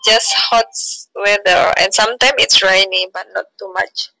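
A woman's voice: mid-range voice, fairly even intonation, moderate pace, gravelly, over music, poor audio. A woman from Indonesia with an Indonesian accent, chatting on a video call in hesitant learner English with fillers. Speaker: guest. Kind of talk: casual video call chat.

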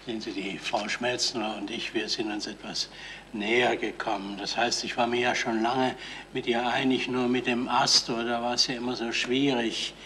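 An actor plays a sheepish Bavarian man in a radio drama, earnest and halting as he justifies himself. His voice is medium-pitched and bright, with faint hiss from the old recording. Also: flat delivery, natural voice. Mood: sheepish, pleading. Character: sheepish, pleading suitor jealous of a dog. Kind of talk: radio crime drama acting. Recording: slightly hissy 1970s radio recording.

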